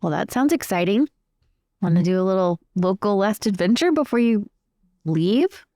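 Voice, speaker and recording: A woman's voice: mid-range voice, lively intonation, moderate pace, mellow, no echo, clean studio sound. A woman playing a tabletop roleplaying game, improvising in a casual, chatty way. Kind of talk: casual improvised in-character chat.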